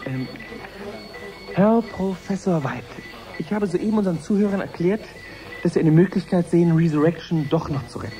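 A man's voice: high voice, lively intonation, normal speed, mellow, soft background music. An actor playing an earnest male reporter giving a formal, explanatory report in a radio play. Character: earnest live reporter covering a scientific experiment. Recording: crowd murmur behind the voice.

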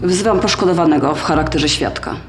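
A woman's voice: deep voice, noisy background, natural voice. A woman playing a stern formal judge. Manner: formal, measured, official. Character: stern female judge reading out rulings.

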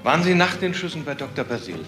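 An actor playing a businesslike, persistent homicide detective in a German crime radio drama. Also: male voice, on the high side, very animated, fast talker, music in the background. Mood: probing.

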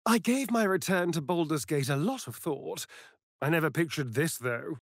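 An actor playing a sardonic, flirtatious and vain vampire rogue in a video game, with an arch, theatrical drawl. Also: male voice, on the high side, expressive, normal speed, creaky, no room sound, studio quality. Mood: subdued, sincere. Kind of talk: video game character acting.

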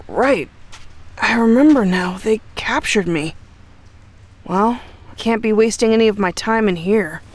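A woman voicing a spirited, stubborn, kind-hearted young ninja hero in an anime game dub, earnest and expressive. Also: medium pitch, close to an everyday voice. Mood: curious, chatty.

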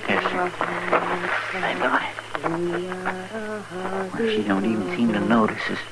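An American actor playing an earnest, simple western deputy with a folksy rural drawl, hesitant and deferential, in a 1950s radio drama. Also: male voice, high voice, sing-song, slow, creaky, full character voice. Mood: uneasy, hushed. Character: simple, earnest male frontier deputy, respectful and bewildered. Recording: very noisy old radio recording.

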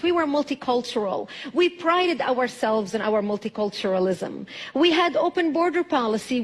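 A middle-aged woman from Lebanon, with a Lebanese accent, addresses an auditorium from a lectern. Her voice is fairly high, and she speaks emphatically, building points through repetition. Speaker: public speaker. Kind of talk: prepared speech to an audience. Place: large auditorium stage at a lectern.

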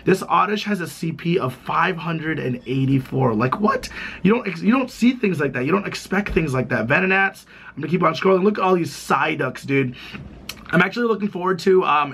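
Young male gaming vlogger, voice hoarse and gravelly, slightly high, chatty and excitable. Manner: excitable, chatty, rambling with many "like"s. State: hoarse, recovering from lost voice. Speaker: gaming YouTuber. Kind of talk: casual gameplay vlog. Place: small room indoors.

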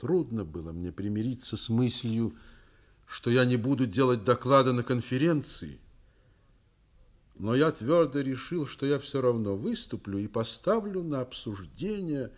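An actor in a Soviet radio play playing a middle-aged, principled school principal and worried father, speaking in a deliberate, reflective manner. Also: medium pitch, animated. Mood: pensive, worried. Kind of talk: Soviet radio drama acting, narrating.

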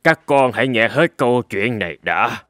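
A man voicing a stern old swordmaster and father in a fairy-tale cartoon, speaking in a measured, formal, lecturing way. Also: mid-range voice, lively intonation, a bit bright, no room sound, studio quality, close to an everyday voice. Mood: solemn.

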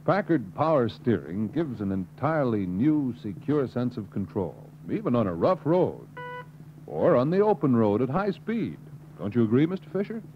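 An American actor playing a polished, confident car salesman in a 1950s industrial film, with a smooth sales-pitch delivery. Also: big pitch swings, moderate pace, close to an everyday voice. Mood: persuasive. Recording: muffled, hissy 1950s film soundtrack.

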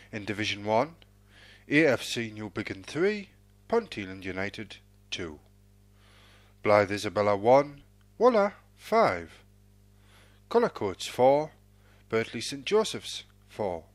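A man reading out football results as an announcer, in a measured, formulaic sing-song cadence. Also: medium pitch, no room sound.

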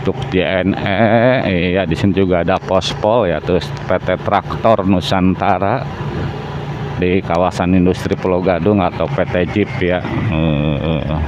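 A man's voice: low-pitched, fairly even intonation. A man vlogging casually while riding a motorbike, unhurriedly pointing out buildings along the road. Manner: unhurried, pointing out sights with frequent "ya". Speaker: moto vlogger. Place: on a motorbike on city streets, outdoors. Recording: muffled with loud traffic noise. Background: traffic and engine noise.

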